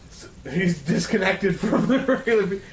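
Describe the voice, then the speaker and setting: A man's voice: sits high, lively intonation, normal speed, a little hiss or hum. A man chatting casually at a round table, exasperated and swearing freely. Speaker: panelist on a friends' discussion show. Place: room with a group of friends.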